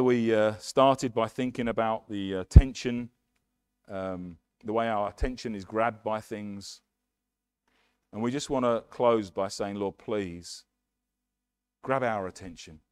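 A middle-aged English man preaching with earnest emphasis, his voice fairly low. He speaks into a headset mic, and the sound is close and dry despite the hall. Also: a little mellow. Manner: earnest, emphatic preaching with hand gestures at a lectern. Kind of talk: sermon. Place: church hall, medium size, indoors.

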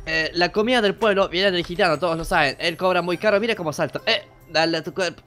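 Man playing a forceful, rambling, scatterbrained authority figure. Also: high-pitched, fast talker. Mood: blustering. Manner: blustering, rambling, stuttering over words. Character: sleepy, pompous, scatterbrained male teacher and soldier. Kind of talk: improvised comic gaming roleplay.

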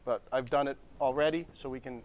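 A man's voice: medium pitch, steady tone, a little fast. A man in his forties, a restaurant chef, walking through a recipe step by step in a TV studio kitchen, easygoing and checking in with "okay?". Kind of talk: cooking demonstration.

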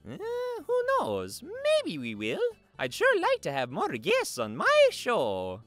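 A man puts on a good-natured cartoon plumber hero hosting a comedy show. The delivery is friendly and teasing, unhurried overall, with very animated swoops in pitch and music underneath. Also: no echo, full character voice. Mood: cheery. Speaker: show host. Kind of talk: cartoon fan dub comedy banter.

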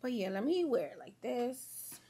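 A woman's voice: fairly high pitch, big pitch swings, unhurried, dark tone, slightly rough. A young woman doing her makeup at home and chatting to viewers, a little sniffly from a sore throat, rambling in a friendly way. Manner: rambling, chatty, talks to viewers as y'all and girl. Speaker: beauty vlogger. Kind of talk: casual get-ready-with-me chat.

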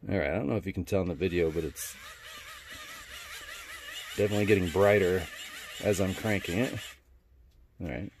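A man's voice: deep voice, moderate pace, pauses often, slight background noise. A man doing a casual, laid-back unboxing narration as a product reviewer, speaking in colloquial language. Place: indoors at a wooden workbench.

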